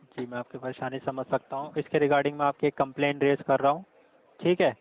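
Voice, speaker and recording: A man's voice: mid-range voice, flat delivery, fairly quick. A man working as a customer care agent, answering with polite, formal, apologetic phrases over a recorded phone call. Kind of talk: scripted call-center politeness.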